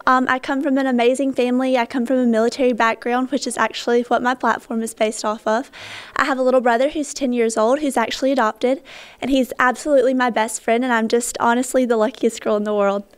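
A woman's voice: a little high, steady tone, fast, clean tone. A young woman introducing herself as a pageant contestant in a bubbly, enthusiastic way. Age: young adult. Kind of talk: casual self-introduction.

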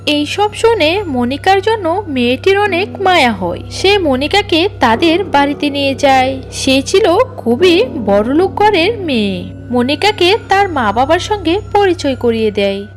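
A woman's voice: high-pitched, very animated, unhurried, soft background music. A woman narrating a scripted cartoon moral story as a storyteller.

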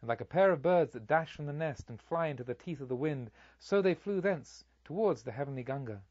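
A man reading literary narration as an audiobook, in a solemn, measured storytelling manner. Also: a little high, lively intonation, a little mellow, dry recording, highs cut off. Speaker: audiobook reader.

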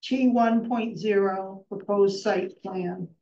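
A middle-aged woman chairs a zoning board meeting, reading a formal motion in a measured, careful way. Her voice is mellow and rather dark. It is heard from a distance in an echoey room, on dull, muffled meeting audio. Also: medium pitch, steady tone, a little hiss or hum. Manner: measured, reads legal text slowly and carefully. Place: town hall meeting room.

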